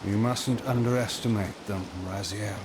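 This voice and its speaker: An actor playing an ancient, scheming, imperious vampire lord in a video game, with a grandiose, measured and portentous theatrical delivery. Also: male voice, fairly low pitch, fairly even intonation, reverberant, noisy background, natural voice. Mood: grave warning. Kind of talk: dramatic video game voice acting.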